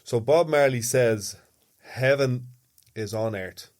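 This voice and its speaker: A man's voice: medium pitch, slow pace, a little mellow. An Irishman co-hosting a podcast in casual conversational chat. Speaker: podcast co-host.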